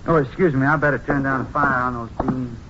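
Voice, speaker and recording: A man's voice: mid-range voice, fairly even intonation, a little fast, natural voice. An actor playing an evasive, folksy rural Texas man, defensive about his brother, in a 1950s radio drama. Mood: casual, evasive. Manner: folksy, colloquial, dropped subjects and double negatives. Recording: lo-fi 1950s radio, faint noise.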